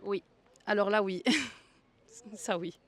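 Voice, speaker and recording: A woman's voice: medium pitch, drawn-out words. A woman from Belgium chatting casually as an interviewee, candid and a little dismissive. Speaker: passer-by being interviewed. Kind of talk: casual chat.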